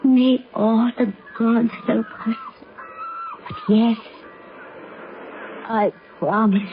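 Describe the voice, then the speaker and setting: A noisy, muffled old radio drama recording carries a young woman with a high voice. She is an anxious lover torn between her heart and her family, speaking with hesitant, earnest intensity. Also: fairly even intonation, drawn-out words. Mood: fearful, yearning. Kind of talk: 1970s radio drama acting.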